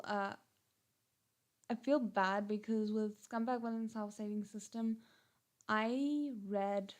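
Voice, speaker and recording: A woman's voice: mid-range voice, darkish tone. A woman with a South African accent chatting casually on a livestream, rambling with frequent restarts and fillers. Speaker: channel host.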